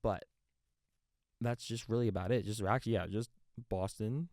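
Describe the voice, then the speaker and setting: A man hosting a sports show with unscripted commentary, often halting and rephrasing mid-sentence. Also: fairly low pitch, a little mellow, no room sound, clean studio sound.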